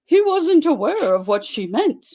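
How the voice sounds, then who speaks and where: A performer playing a mock romance-novel author, giving a theatrical, deliberate, tongue-in-cheek dramatic reading. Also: sits high, sing-song, dark tone. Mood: mock romantic. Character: Fabio Toadface, mock romance-novel author alter ego.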